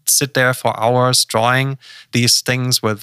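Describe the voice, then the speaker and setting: A man talking casually on a podcast, halting often with fillers and restarts. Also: mid-range voice, level tone, pushed and bright, smooth, dry recording, clean studio sound. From Germany. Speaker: podcast guest.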